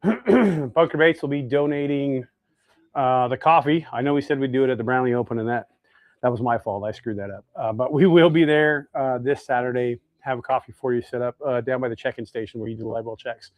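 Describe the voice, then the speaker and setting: A man's voice: medium pitch, a little fast, dry recording, muffled recording. Folksy, easygoing American man. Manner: folksy, easygoing, thinks aloud while working. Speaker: host of a fishing lure making livestream. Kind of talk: casual live demonstration with chat to viewers.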